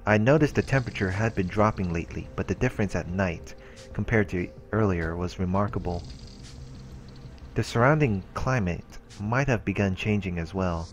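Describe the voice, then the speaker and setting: Man host, very low voice, reads game text with offhand comments over faint music. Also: moderate pace. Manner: steady reading with casual asides. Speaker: let's play host. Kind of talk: reading game narration aloud.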